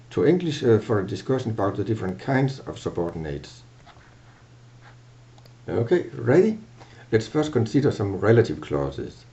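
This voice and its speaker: An older man with a Danish accent explaining grammar in a halting, self-correcting way, filmed at a webcam in a small office. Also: medium pitch, warm tone, dull audio. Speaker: language teacher. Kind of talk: lecture to camera.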